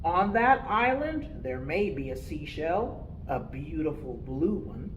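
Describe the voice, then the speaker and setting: A woman's voice: sits low, very animated, takes it slowly, lo-fi sound, noisy background, heavily acted. A woman voicing a big old male sailor bear with a folksy, salty drawl for a picture-book storytime. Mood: folksy, unhurried.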